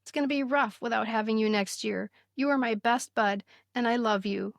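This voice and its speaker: A woman in her fifties with a fairly high voice, captured close and dry. She chats candidly like a podcast host, moving easily between casual storytelling and reading aloud. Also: normal speed, creaky, no echo. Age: 50s. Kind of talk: casual chat, reading yearbook messages aloud.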